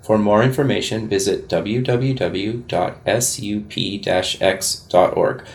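A man in his thirties hosting an interview show, reading a promo and then asking casual, halting questions. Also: lowish voice. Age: 30s. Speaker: host. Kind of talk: podcast interview.

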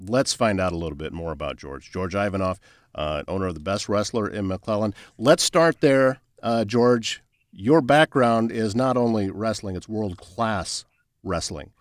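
A man's voice: lowish voice, unhurried, dry recording. A man hosting a podcast, interviewing guests in a conversational style. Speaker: podcast host.

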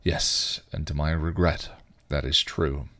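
A man's voice: extremely low pitch, level tone, slow, bright, forceful tone, full character voice. A man voicing a proper middle-aged male mentor, tight and clipped in his speech. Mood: stern.

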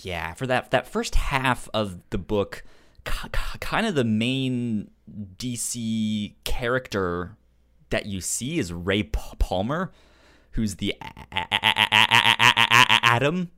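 A man chatting casually about comics as a podcast host, with a stutter that repeats sounds and syllables in a halting, animated delivery. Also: on the low side, clean tone. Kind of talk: casual comic book discussion.

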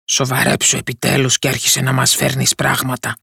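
A storyteller voicing a sneaky, nervous male robber in a fairy tale, speaking with hushed urgency. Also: medium pitch, flat delivery, vocal fry, slightly rough, no echo, clean studio sound, exaggerated voice. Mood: impatient. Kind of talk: storybook character voice.

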